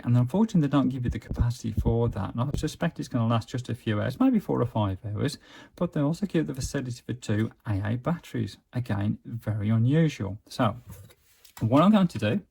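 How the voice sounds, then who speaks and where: A man's voice: medium pitch, creaky, mellow, a little hiss or hum. A man giving a casual, methodical product walkthrough as a gadget reviewer. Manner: methodical, explanatory.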